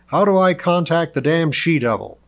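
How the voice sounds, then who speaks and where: A man voicing an arrogant, indignant male superhero in a storybook reading, loud, snappish and growling. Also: fairly high pitch, lively intonation, normal speed, pitched above the performer's own voice.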